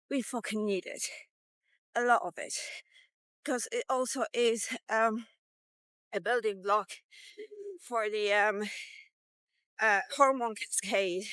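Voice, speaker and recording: Slow-talking woman with a clear voice. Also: medium pitch, fairly bright tone, no room sound. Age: 50s. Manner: informal, rambling, candid. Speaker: vlogger. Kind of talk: casual walk-and-talk vlog.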